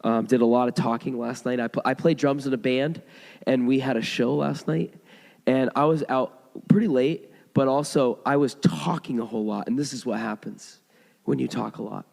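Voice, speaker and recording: A hoarse man in his thirties preaches in a church sanctuary, his voice carrying a long hall reverb. He talks in a loose, conversational way, often restarting his sentences as he tells a story. His pitch sits in an ordinary middle range. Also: fairly even intonation, normal speed, a little mellow. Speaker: pastor. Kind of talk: sermon.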